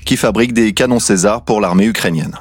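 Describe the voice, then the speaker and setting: A man's voice: lowish voice, brisk. A man delivering a scripted investigative report as a radio reporter.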